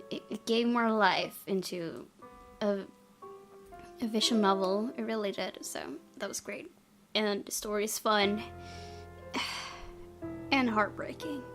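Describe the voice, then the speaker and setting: A woman's voice: mid-range voice, fairly even intonation, slow pace, pauses often. A young woman giving let's-play commentary on a visual novel, reacting emotionally and talking to herself as she plays. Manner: emotional, reacts loudly and talks to herself while playing. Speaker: gaming YouTuber. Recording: music playing from the game behind.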